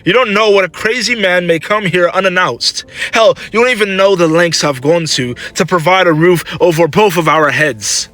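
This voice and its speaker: A man's voice: medium pitch, normal speed, fairly bright tone, breathy edge, soft background music. A man voicing a proud, menacing young warrior son, heated, defiant and scornful, in a dramatic story. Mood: indignant.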